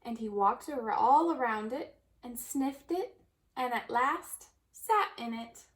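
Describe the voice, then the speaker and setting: A woman reading a children's story aloud in an expressive storytelling manner. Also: fairly high pitch, slow. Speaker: storybook reader.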